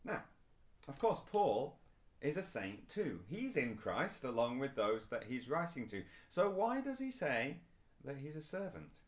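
A man's voice: mid-range voice, big pitch swings, a little slow. A man, preaching a recorded sermon to camera in a small indoor room, earnest and emphatic. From England. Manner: earnest, rising and falling emphasis, gestures while speaking. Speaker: pastor.

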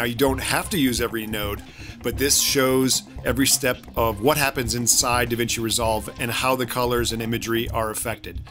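Middle-aged man, North American accent, mid-pitched voice, emphatic explainer delivery, close studio mic, music behind. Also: a bit bright. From Canada and the United States, born in Czechoslovakia. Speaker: video creator and colorist presenting. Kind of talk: explanatory talk to camera. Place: small room indoors at a desk. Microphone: studio condenser mic on desk stand.

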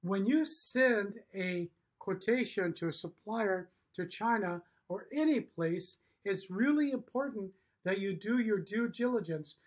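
A man's voice: high-pitched, animated, unhurried, hardly any pauses. A middle-aged man giving a promotional message to camera as a business owner and host, emphatic, in short punchy sentences. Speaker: factory owner and show host. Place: indoor room with wood-block walls.

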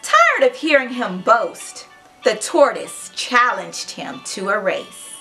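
A woman in her thirties hosting a children's read-aloud, with an expressive, clearly paced, teacherly storybook delivery. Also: fairly high pitch, takes it slowly, soft background music, rough recording. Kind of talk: storybook narration to young children. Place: furnished room indoors.

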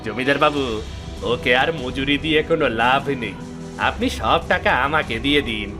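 A man's voice: mid-range voice, moderate pace, over music, lightly acted. A man voicing a sly, lying woodcutter in a cartoon folktale, wheedling as he talks. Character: sly, lying male woodcutter flattering his boss.

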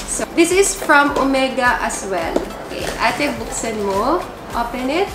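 High-voiced chatty Filipina vlogger. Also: female voice, lively intonation, a little slow, roomy sound, heavy background noise. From Philippines. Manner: chatty, mixing Tagalog and English. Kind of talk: casual unboxing vlog. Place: living room at home.

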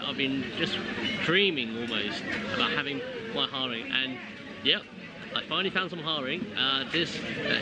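A man, giving a casual travel vlog in a hesitant, rambling way with repeated words. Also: medium pitch. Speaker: travel vlogger. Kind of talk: casual vlog chat to camera. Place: busy food market and cafes. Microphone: camera at arm's length. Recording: dull audio, loud public background noise.

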